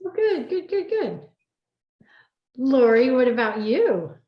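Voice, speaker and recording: A woman's voice: high voice, big pitch swings, takes it slowly. A woman hosting a casual group chat interview in an upbeat, chummy, affirming way. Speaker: host. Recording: echoey, dull video-call audio.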